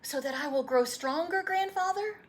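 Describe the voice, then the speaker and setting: A woman's voice: very high voice, moderate pace, a little hiss or hum, voice pushed up. A woman voicing an eager, proud young boy with breathless, earnest emphasis in a storybook read-aloud. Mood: pleading.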